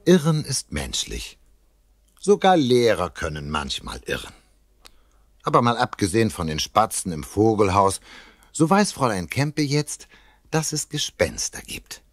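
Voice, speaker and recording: Very animated children's storyteller. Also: male voice, on the low side, unhurried, no echo, clean studio sound, heavily acted. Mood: genial, lively. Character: genial male storyteller of a children's tale. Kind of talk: scripted narration for children's radio play.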